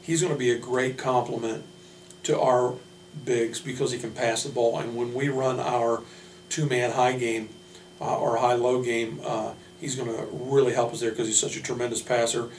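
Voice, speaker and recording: Guy coach talking thru his players, pretty even pitch, pauses a lot, echoey room w faint hum. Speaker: college basketball coach. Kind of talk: informal spoken player introductions. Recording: roomy, distant mic, faint hum.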